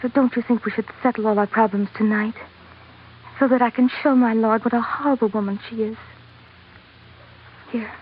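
A woman's voice: a little high, steady tone, normal speed, long pauses, natural voice. A woman playing a devoted, educated young sultana with an earnest manner in an old radio drama. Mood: confiding. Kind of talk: 1940s radio drama acting. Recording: dull, noisy old radio recording.

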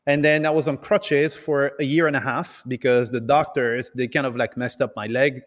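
A man's voice: mid-range voice, steady tone. Man talking in a reverberant space. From Italy and several other countries. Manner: hesitant, halting delivery with repeated words. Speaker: podcast guest, entrepreneur. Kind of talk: casual interview storytelling. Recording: reverberant, hall-like room sound.

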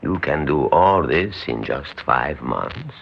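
An actor in an old-time radio drama playing a calculating male conspirator who speaks in a measured, formal, deliberate way. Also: a bass voice, lively intonation, vocal fry, slightly rough, exaggerated voice. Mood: flattering, measured. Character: smooth-talking, calculating male conspirator, secretly an examining official. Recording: dull, muffled old radio recording.

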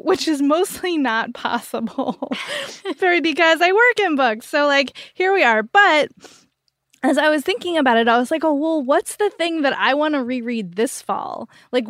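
High-voiced, enthusiastic woman podcaster. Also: fairly bright tone, no echo, studio quality. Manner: enthusiastic, emphatic. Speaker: co-host of a book podcast. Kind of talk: casual book recommendation chat.

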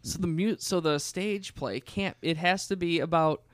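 A man's voice: a little high, moderate pace, a bit bright, no room sound. A man co-hosting a podcast in casual banter, joking and teasing as he talks. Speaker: podcast co-host and musician.

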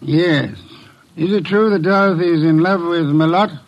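An actor playing a shrewd, authoritative middle-aged detective boss who questions people deliberately in a classic radio drama. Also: male voice, on the high side, lightly acted. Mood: probing, stern. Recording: muffled old radio broadcast recording.

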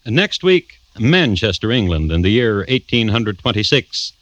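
A macabre, wry crime storyteller hosting an old radio drama. His low voice swoops between words, deadpan and deliberate with dramatic pauses. The sound is dull, like a vintage broadcast. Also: no room sound. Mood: dry, macabre. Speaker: host and narrator. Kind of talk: 1950s radio drama narration.

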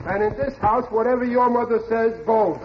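A man's voice: extremely high pitch, fairly even intonation, normal speed. An actor playing a blustering, stubborn, bumbling father in the exasperated style of 1940s radio comedy. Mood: indignant. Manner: exasperated, blustery, muddled sayings. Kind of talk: 1940s radio sitcom acting. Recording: dull, noisy old radio recording.

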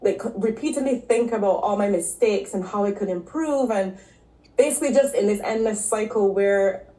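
A woman from Barbados, a podcast guest and health coach, telling her story in a relaxed, casual way with frequent ums and likes. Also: mid-range voice, normal speed. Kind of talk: casual interview talk. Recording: echoey room.

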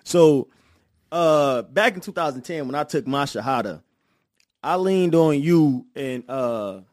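A man's voice: on the high side, animated, measured pace, darkish tone, dry recording. A man hosting a podcast in a studio, talking casually with heavy street slang and lots of emphatic agreement. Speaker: podcast host.

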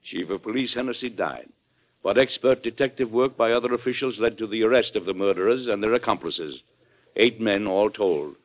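An actor playing a genial, plain-spoken president who tells his own story in a measured, folksy way in an old-time radio drama. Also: on the low side, close to an everyday voice. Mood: measured storytelling. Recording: dull old radio recording, background music.

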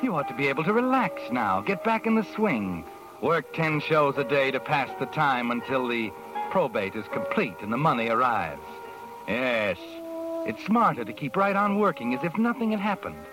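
An actor playing a knowing radio narrator who speaks in an insinuating, taunting second person. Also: male voice, medium pitch, very animated, moderate pace, lightly acted, poor audio. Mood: sardonic, insinuating. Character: knowing, sardonic narrator addressing a guilty woman. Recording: vintage radio recording with music.

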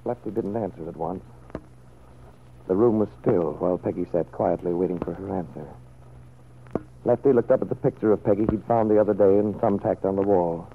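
A male narrator reads a scripted radio serial in old-time storytelling style. His voice is low, on a muffled vintage recording with faint noise. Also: fairly quick.

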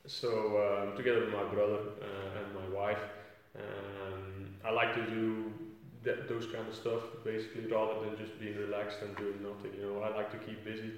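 Flemish guy chatting in interview, lots of ums, monotone, boomy hall sound. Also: normal speed, hardly any pauses, a little hiss or hum. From Belgium. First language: Flemish Dutch. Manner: thoughtful, many fillers and restarts. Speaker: footballer guest. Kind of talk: casual interview answers. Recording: echoey room from a distance.

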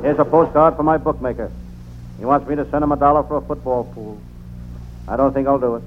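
Noisy old radio deadpan private detective actor. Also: male voice, medium pitch, quick pace, lightly acted. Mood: deadpan, weary. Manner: deadpan, punning first-person delivery. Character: deadpan, world-weary male private detective, comic radio gumshoe. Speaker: narrator and lead character. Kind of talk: old-time radio comedy detective narration. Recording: noisy, narrow-band vintage radio recording.

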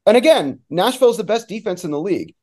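A man's voice: sits high, lively intonation, fast talker, a little mellow. A man giving casual, opinionated sports analysis as an interview guest, in an informal conversational way. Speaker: guest, soccer play-by-play announcer.

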